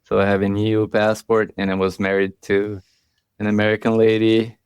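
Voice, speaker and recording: A man from Brazil, a climber, answering interview questions in a casual, low-key way from a friend's house. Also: monotone, moderate pace, darkish tone, smooth, dry recording. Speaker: climber guest.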